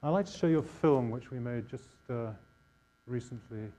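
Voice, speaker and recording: A man's voice: medium pitch, normal speed, warm tone. A man with a Scottish accent giving an informal public lecture. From Scotland.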